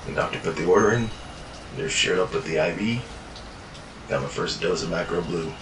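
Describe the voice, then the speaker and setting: A man with a very deep voice tells his story in a tired, world-worn way, swearing as he goes. He sits back from the mic in an echoey room. Steady ambient noise runs underneath. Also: moderate pace, recorded from a distance. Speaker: narrator. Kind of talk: first-person fiction journal narration.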